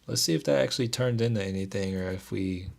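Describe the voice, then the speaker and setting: A young man with a very low voice that stays soothing and even. He hosts a stock trading livestream, chatting loosely with his viewers and reading their comments aloud in a relaxed way. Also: fast, creaky. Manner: laid-back, keeps delivery even, reads chat aloud. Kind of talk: casual livestream chat with viewers.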